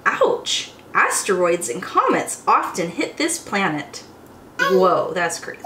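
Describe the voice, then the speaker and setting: A young woman hosting storytime as a teacher, reading aloud to small children in an expressive, exclamatory way. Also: medium pitch. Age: young adult. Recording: roomy echo, faint background noise.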